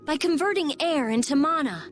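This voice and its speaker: Game girl genius, brusque, blunt, high pitch, lively intonation. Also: moderate pace, soft background music. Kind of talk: video game cutscene acting.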